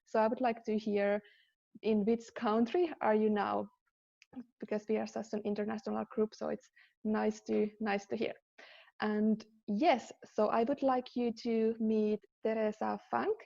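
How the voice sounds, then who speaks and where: A woman's voice: a little high, little pitch movement, unhurried, creaky, mellow, lo-fi sound. A woman from Finland, hosting a live podcast interview in an upbeat way, with fillers and restarts. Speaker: podcast host.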